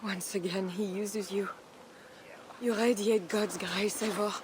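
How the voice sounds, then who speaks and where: A woman's voice: mid-range voice, fairly even intonation, takes it slowly, a little hiss or hum, natural voice. An actress playing a devout, merciful and steadfast medieval noblewoman, earnest and prayerful, in a video game. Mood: pleading, earnest. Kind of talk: dramatic video game acting.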